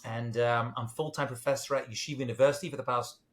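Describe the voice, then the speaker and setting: A man's voice: mid-range voice, fairly even intonation, fast talker. London-accented middle-aged man, joking. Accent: British English, London. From England. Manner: self-deprecating, jokey, with fillers. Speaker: rabbi, guest. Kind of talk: casual interview talk.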